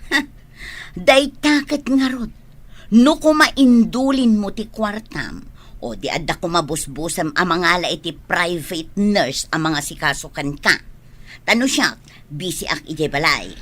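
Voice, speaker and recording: Actress as bright, outspoken Filipino village woman. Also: lowish voice, expressive, unhurried. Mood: urging, firm. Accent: Ilocano Filipino. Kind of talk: Ilocano radio drama acting.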